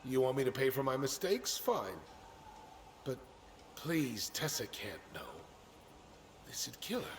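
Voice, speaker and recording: An actor playing a cowardly middle-aged politician in a video game drama, defensive, halting and pleading. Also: male voice, medium pitch, big pitch swings, roomy sound. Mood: pleading, shocked.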